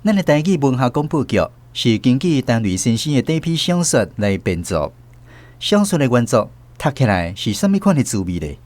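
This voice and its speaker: A man's voice: mid-range voice, sing-song, normal speed, a little mellow. Male Taiwanese radio presenter, mid-range voice. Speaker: radio show host and narrator. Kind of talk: scripted radio programme introduction.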